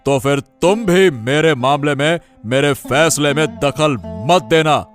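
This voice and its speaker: Man playing a slow, measured, authoritative cartoon king. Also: a little high, soft background music. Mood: stern, irritated. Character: principled, authoritative king, firm with his queen. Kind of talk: Hindi cartoon dialogue acting.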